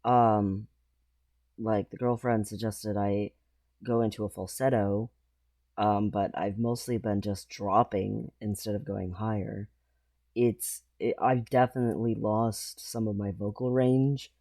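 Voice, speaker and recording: A man with a low, mellow voice talks slowly and haltingly in a casual vlog. He rambles and pauses often. The sound is dry and close. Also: fairly even intonation, dry recording. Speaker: vlogger.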